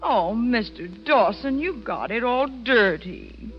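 A woman's voice: fairly high pitch, expressive, slow pace. An actress playing a fussy, impatient, complaining woman tourist in 1940s radio style. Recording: muffled old radio broadcast, music behind.

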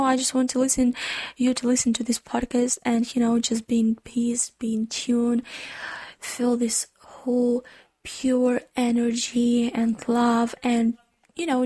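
A woman with a Ukrainian accent hosting her own podcast from her room, speaking gently and earnestly with many hesitant restarts. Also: on the high side, dry recording. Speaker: podcast host. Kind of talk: casual solo podcast talk.

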